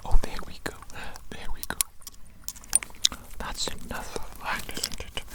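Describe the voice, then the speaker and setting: Asmr performer doing an evil doctor mad scientist, all whispery and breathy, doting, theatrical, repeats stuff. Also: unhurried, lightly acted. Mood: fussing, pleased. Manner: theatrical, repetitive, doting, formal wording. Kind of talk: ASMR roleplay.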